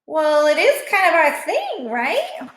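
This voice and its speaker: A woman's voice: sits high, wildly swooping pitch, takes it slowly, pitched above the performer's own voice, full character voice. A woman voicing a weary, wise and easygoing female dog in a storybook, wry and matter-of-fact. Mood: amused. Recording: echoey room.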